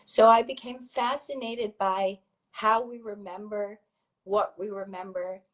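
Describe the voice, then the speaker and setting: A woman from Hong Kong and Brazil, a filmmaker guest, explaining her ideas thoughtfully in a hoarse voice. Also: mid-range voice, fairly even intonation, a little slow. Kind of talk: interview answer.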